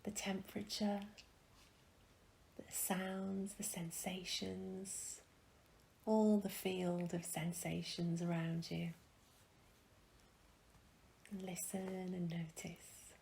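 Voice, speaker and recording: A woman leading a guided meditation in a gentle, calm, soft and breathy voice, with long pauses, often trailing into a whisper. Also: lowish voice, slight background noise, low-quality recording. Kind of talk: guided meditation, soothing instruction.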